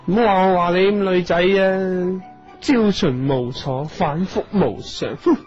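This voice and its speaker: A male actor playing a jealous, petulant gentleman with a Hong Kong Cantonese accent in a radio comedy drama. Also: sits high, unhurried. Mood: jealous, sulky. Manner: petulant, accusing, sarcastic. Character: jealous, huffy gentleman feeling betrayed. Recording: slightly dull audio over music.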